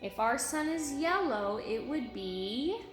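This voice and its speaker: A woman's voice: high voice, animated, slow pace, reverberant, faint music behind. A woman preschool teacher reading aloud to young children, encouraging them with simple repeated phrases and questions.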